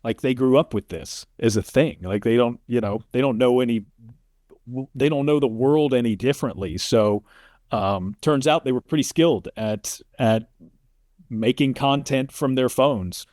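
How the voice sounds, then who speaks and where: A man's voice: medium pitch, normal speed, darkish tone, no room sound, clean studio sound. A middle-aged American man, an interview guest, talking casually and reflectively in a storytelling way. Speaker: interview guest, sports play-by-play announcer.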